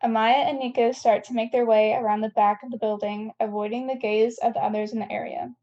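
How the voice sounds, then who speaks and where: A woman's voice: on the high side, steady tone, fairly quick, lo-fi sound. A woman reading scene headings and stage directions as the narrator of a scripted table read.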